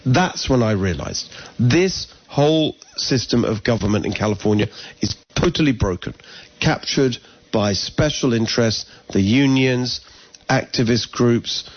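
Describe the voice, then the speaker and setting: A man's voice: mid-range voice, expressive, husky, highs cut off. A middle-aged man with an English accent, speaking earnestly in an interview and often restarting his sentences. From England. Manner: earnest, talks in quick restarts and self-corrections. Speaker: political candidate guest. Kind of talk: conversational interview.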